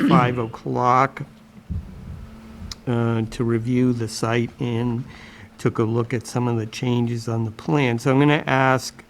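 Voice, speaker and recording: A man chairing a town commission meeting, speaking slowly with many pauses and fillers. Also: medium pitch. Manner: slow, hesitant with many fillers. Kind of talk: public meeting.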